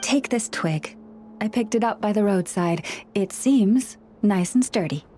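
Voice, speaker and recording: A woman voice actor plays a gentle forest caretaker in a video game. She is a woman who speaks soothingly and with measured care at a middle pitch, kind toward every animal. Music plays behind her. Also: natural voice. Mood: caring, gently chiding. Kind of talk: video game character dialogue.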